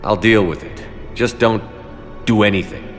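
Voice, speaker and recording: Very deep, curt military commander, played by a man. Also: normal speed, soft background music, natural voice. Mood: stern. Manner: terse, commanding, dry. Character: stern, curt, authoritative male military commander of a spaceship. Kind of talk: video game voice acting.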